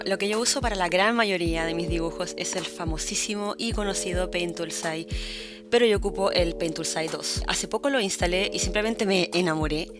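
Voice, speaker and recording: A young woman with a Chilean accent, chatting her way through a relaxed digital art tutorial as the channel's host, often restarting her words. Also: on the low side. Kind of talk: casual tutorial narration.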